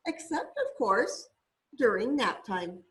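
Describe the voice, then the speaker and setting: A woman's voice: a little high, sing-song, slow pace, a bit bright, heavily acted. A woman voicing a cheery, grandly enthusiastic little female bird teacher in a storybook, chirpy and theatrical. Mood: brisk, bossy.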